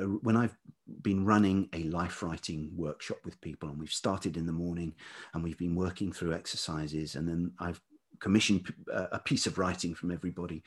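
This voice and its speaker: A man speaking as an interview guest in a reflective, conversational way, thoughtful and halting as he searches for words. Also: sits low, slightly rough. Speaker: interview guest, writer and musician.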